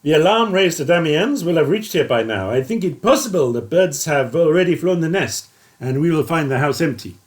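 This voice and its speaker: A narrator voicing a calm, decisive male French officer with a measured, firm delivery. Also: mid-range voice, lightly acted.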